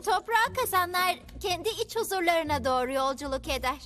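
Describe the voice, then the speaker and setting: A very high-pitched ant queen from a cartoon, imperious and ceremonious. She gives orders like a serene guru with a scheming streak. Music plays clearly behind her. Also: animated, a little fast, fairly bright tone. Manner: pompous, commanding, ceremonious. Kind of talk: cartoon dub acting.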